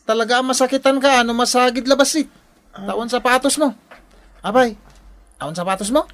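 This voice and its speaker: A woman's voice: on the high side, fairly quick, a bit bright, close to an everyday voice. A woman playing a spoiled, lazy rich schoolboy in a radio drama, with a taunting, boastful manner.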